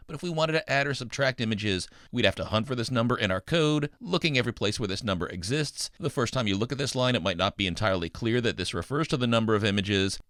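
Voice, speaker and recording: A man's voice: medium pitch, bright, forceful tone, no echo. Middle aged guy teaching a coding lesson, upbeat n folksy, little playful asides, really dry close recording. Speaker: programming instructor. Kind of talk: scripted tutorial narration.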